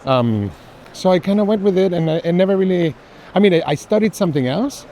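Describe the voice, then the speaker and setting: A man's voice: high-pitched, animated, fairly quick, warm tone. A middle-aged man, chatting casually and emphatically on an art fair tour, repeating himself for stress. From Mexico. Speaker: artist and art collector. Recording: faint urban ambient noise.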